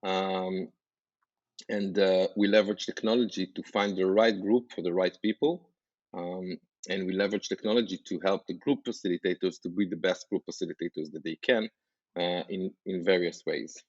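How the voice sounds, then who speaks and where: A man with an Israeli accent, a startup founder talking as a guest on a podcast, earnest and halting, with many fillers and restarts. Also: low voice, steady tone, dry recording, dull audio. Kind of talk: podcast interview.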